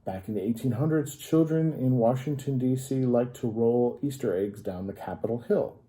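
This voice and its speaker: An American man reading a history story aloud to children, pausing to add simple informal explanations. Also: mid-range voice, mellow. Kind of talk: read-aloud storytelling with informal asides to young listeners.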